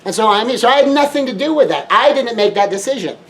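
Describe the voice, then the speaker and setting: A man's voice: extremely high pitch, expressive, fast, fairly bright tone. A man from Southern California, a life coach, giving a motivational talk with repetitive, emphatic phrasing and shifting tempo.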